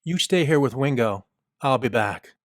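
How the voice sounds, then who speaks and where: A man's voice: medium pitch, lively intonation, moderate pace, no room sound, studio quality, close to an everyday voice. A man playing a loyal, protective mouse comrade in an audio drama, firm and earnest in manner. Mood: firm, concerned.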